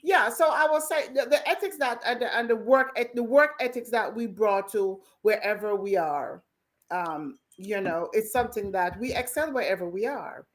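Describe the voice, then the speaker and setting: A woman from Jamaica with a Jamaican accent, talking as a guest in a casual, animated call-in chat. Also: a little high, normal speed. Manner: animated, emphatic, repeats herself when excited.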